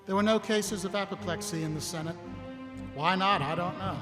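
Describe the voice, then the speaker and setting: Music plays behind an actor as a wry male wartime aide in an echoing hall. His high voice is conversational, in audio drama style. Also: brisk, natural voice. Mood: wry, curious. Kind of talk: live audio drama acting and narration.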